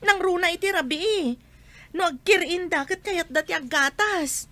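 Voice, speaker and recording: A woman's voice: very high voice, moderate pace, sharp tone. A woman acting a struggling young mother in a radio drama, plaintive and complaining as she pleads. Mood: upset, pleading.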